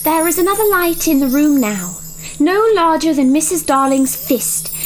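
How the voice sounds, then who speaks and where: A woman tells a storybook tale in an audio drama. Her voice is strikingly high and swoops with lively intonation. Faint music plays behind her theatrical narration. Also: normal speed.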